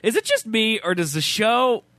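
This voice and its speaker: Male podcast host, higher voice, very lively delivery, dry close mic. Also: moderate pace, no room sound. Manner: animated, chatty storyteller. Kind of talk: casual podcast banter.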